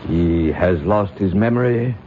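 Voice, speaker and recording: A low, stern council chief. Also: male voice. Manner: formal, measured, authoritative. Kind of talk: 1970s radio drama acting. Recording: noisy, dull old radio broadcast recording.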